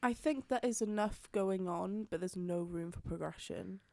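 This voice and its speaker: A woman's voice: mid-range voice, moderate pace, gravelly, darkish tone, no room sound, clean studio sound. A young woman, a singer-songwriter chatting as a guest and often saying "hundred percent". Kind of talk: casual interview chat.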